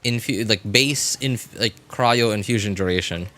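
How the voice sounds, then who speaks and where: A man chatting casually as he comments on gameplay on a live stream, rambling and often correcting himself in a halting way. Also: fairly low pitch, pushed and bright. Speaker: game livestreamer.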